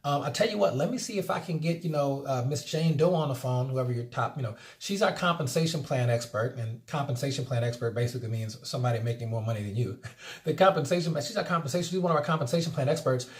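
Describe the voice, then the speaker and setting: Man coaching sales calls, lively. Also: medium pitch, fast talker. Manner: energetic, acts out phone scripts, many restarts. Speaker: MLM sales trainer. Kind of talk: casual instructional talk.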